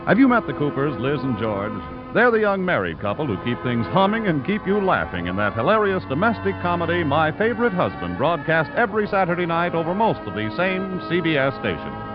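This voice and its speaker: A man's voice: fairly high pitch, big pitch swings, a little fast, few pauses. An American man announcing in polished, declamatory mid-century radio style, reading scripted credits and promotions. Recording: muffled vintage radio broadcast, music behind.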